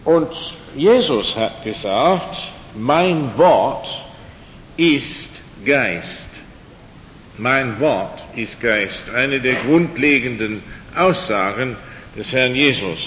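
An older man speaking German as his second language gives an evening talk, unhurried and halting, with dry little jokes. The recording is dull and lo-fi, the voice bounces around a big echoey hall, and a faint low rumble sits underneath. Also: lively intonation, a bit bright. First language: not German. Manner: slow, halting, with dry humor and many fillers. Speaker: lecturer. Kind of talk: evening lecture on creation and faith.

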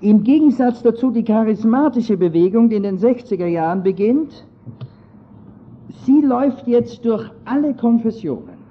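A man's voice: sits high, animated, normal speed. A man with an Austrian accent, somewhat Germanized, giving an earnest, digressive talk on church history to an audience. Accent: Austrian German, partly Germanized. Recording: dull lo-fi audio.

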